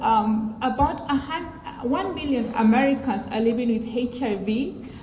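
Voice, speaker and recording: A woman from Kenya with a British accent, giving a measured academic presentation with fillers and restarts. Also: fairly high pitch. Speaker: research presenter. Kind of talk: academic conference talk. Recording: echoey hall, dull sound, faint noise.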